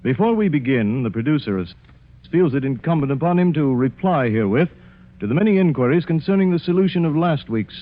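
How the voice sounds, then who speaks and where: Tinny, animated male 1940s radio announcer. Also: mid-range voice. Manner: formal. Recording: tinny narrow-band 1940s radio recording.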